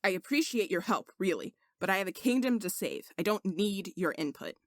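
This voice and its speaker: A woman's voice: medium pitch, expressive, a little fast, dry recording, studio quality, natural voice. A woman voicing an arrogant but earnest male sorcerer knight in a story, speaking in a formal, lecturing way. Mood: haughty, dismissive.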